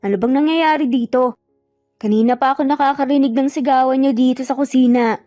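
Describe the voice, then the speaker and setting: A woman's voice: high voice, brisk, mellow, no room sound, lightly acted. A woman voicing an annoyed, grumbling older brother in an audio drama. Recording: muffled lo-fi audio, music bed behind.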